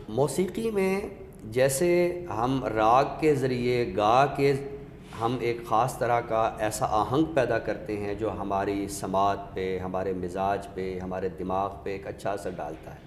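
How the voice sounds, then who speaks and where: A man's voice: medium pitch, a little mellow. Echoey Pakistani man explaining like a scholar. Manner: didactic, deliberate, pausing for emphasis. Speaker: literary scholar and guest speaker. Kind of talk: explanatory discussion of literature. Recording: echoey reverberant room with background noise.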